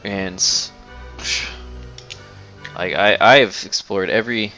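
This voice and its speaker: A man's voice: lowish voice, slow, pushed and bright, muffled recording, soft background music. A young man giving casual, sarcastic gameplay commentary, with some swearing. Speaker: let's play host.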